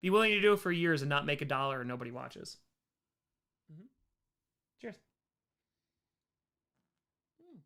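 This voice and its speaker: An American man hosting a casual livestream Q&A, chatting in an animated way and repeating short phrases for emphasis. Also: a little high, normal speed, long pauses, clear, resonant tone. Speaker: livestream host answering chat questions.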